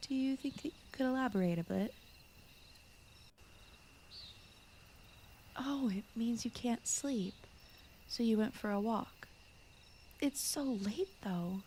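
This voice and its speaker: A woman voicing a gentle, shy fox spirit who guards a forest, speaking softly and often in whispers in a soothing bedtime ASMR roleplay style. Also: medium pitch, unhurried, slight background noise, close to an everyday voice. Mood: concerned, soothing. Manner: soft-spoken, often slipping into whispers, rambling, apologetic. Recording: clear night cricket and forest ambience. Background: crickets, insects, night forest ambience.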